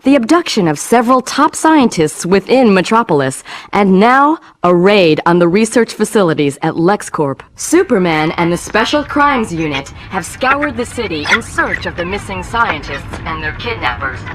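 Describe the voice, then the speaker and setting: A woman's voice: medium pitch, sing-song, moderate pace, few pauses, slight background noise, close to an everyday voice. A woman playing an earnest reporter, reading dramatic scripted news-style narration in a superhero game. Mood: serious.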